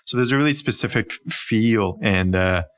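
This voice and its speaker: A man's voice: lowish voice, moderate pace, a bit bright, clear, resonant tone. A man with a Canadian accent answering as a podcast guest in an articulate, conversational, explanatory way. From Ontario, Canada. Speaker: interview guest, urban planning professional.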